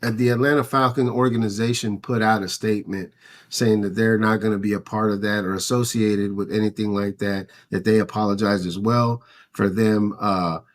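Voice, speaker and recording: A man's voice: lowish voice, steady tone, normal speed. A man co-hosting a casual sports talk podcast, recounting and speculating in a loose, conversational way. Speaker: podcast host.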